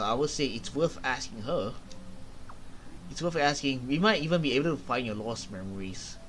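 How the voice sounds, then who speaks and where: A young man hosting a game playthrough, reading dialogue aloud haltingly and commenting casually. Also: fairly high pitch, a bit bright, a little hiss or hum. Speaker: gaming video host.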